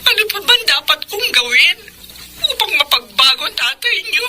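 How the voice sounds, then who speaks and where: A woman's voice: high-pitched, sing-song, normal speed, background noise. An actress playing a long-suffering middle-aged mother in a Filipino radio drama, emotive and exasperated. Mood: weary, concerned.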